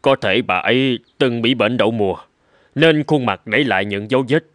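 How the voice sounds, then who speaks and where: A man voicing a grave older gentleman physician and mentor in a dubbed cartoon, speaking in a measured, formal, earnest way. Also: medium pitch, dry recording, close to an everyday voice. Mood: calm, explaining.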